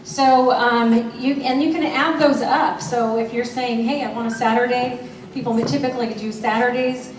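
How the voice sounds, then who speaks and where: A woman explains things briskly, with a fairly high voice. She is heard from a distance in a reverberant hall with steady noise. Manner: explanatory, brisk advice-giving. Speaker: panelist, sales consultant. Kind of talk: panel discussion. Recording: echoey hall, distant, noisy.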